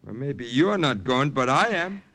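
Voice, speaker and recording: An actor playing a self-serving, cynical young brother, breezy and defensive, in an old-time radio drama. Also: male voice, medium pitch, lively intonation, measured pace, pushed and bright, exaggerated voice. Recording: vintage radio drama recording.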